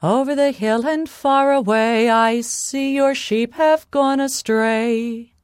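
A plucky, crafty storybook girl, as a woman storyteller plays her. She gushes and wheedles, repeating herself with very animated pitch. The sound is dry and close. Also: moderate pace, no room sound, natural voice. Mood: calling out. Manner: gushing, repetitive, wheedling. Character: clever, brave girl feigning relief and pleading.

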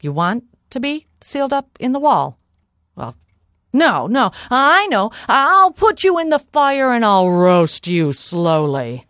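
A woman's voice: a little high, big pitch swings, slow pace, a bit bright, dry recording, clean studio sound, voice pushed up, full character voice. A woman voicing an angry, dim-witted male storybook giant in a blustering, threatening manner. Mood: puzzled, then gloating.

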